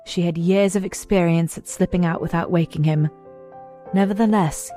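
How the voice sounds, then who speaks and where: A woman's voice: lowish voice, mellow, dry recording. A woman narrator reading scripted story narration for an audio drama.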